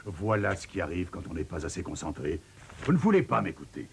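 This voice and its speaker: Older male coach, gravelly, animated pitch, stern, preachy, cartoon dub. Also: brisk, slight background noise, exaggerated voice. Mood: reproachful, lecturing. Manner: lecturing. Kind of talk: French cartoon dub acting.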